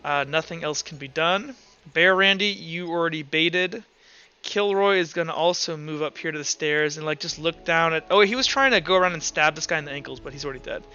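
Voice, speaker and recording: A man with a fairly high, very bright voice runs a tabletop game in loose, improvised narration. The recording is a little dull and lo-fi, with faint music behind him. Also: a little fast, clean tone. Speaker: game master.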